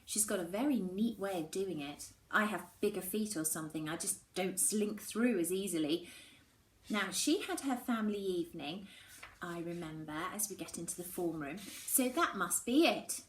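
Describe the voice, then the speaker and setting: A woman speaking as a primary teacher reading aloud to children, with expressive storybook delivery. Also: on the low side, normal speed. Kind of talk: expressive children's storybook narration with casual greetings. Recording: echoey room, faint background noise.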